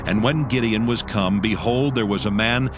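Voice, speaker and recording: A man narrating scripture from a script in a measured, formal storytelling manner. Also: fairly low pitch, noisy background.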